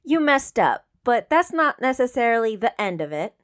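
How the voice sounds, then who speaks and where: A woman voicing a blunt, scolding younger sister with a flat, sharp-tongued delivery in an audio story. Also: fairly high pitch, normal speed. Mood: softening.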